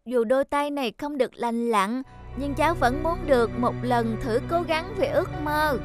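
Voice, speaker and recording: A woman's voice: high voice, normal speed, close to an everyday voice. A woman voicing a polite, hopeful little boy in a children's cartoon, speaking earnestly. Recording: music behind.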